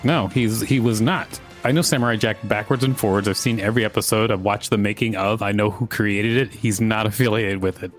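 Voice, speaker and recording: A man's voice: lowish voice, fairly even intonation, brisk, hardly any pauses, soft background music. A man co-hosting a podcast, reading an article aloud and chatting casually. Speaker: podcast co-host.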